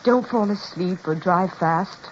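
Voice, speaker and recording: A woman's voice: on the low side, a little slow, natural voice. Actress as an anxious mother on muffled old radio. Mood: anxious, pleading. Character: anxious, tearful, doting mother of a grown son. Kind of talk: 1940s radio drama acting. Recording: muffled, noisy vintage radio broadcast.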